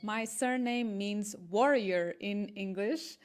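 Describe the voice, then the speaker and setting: Brief: woman, 30s, mid-pitched, earnest and eager guest. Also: takes it slowly. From Portugal. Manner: eager, earnest, with small restarts. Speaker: guest, marketing entrepreneur. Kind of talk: casual interview talk.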